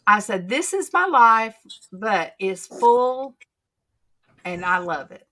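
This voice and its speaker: A woman, hosting a casual faith chat and telling stories in a chatty, drawn-out way. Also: medium pitch, sing-song, bright, forceful tone. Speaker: channel host.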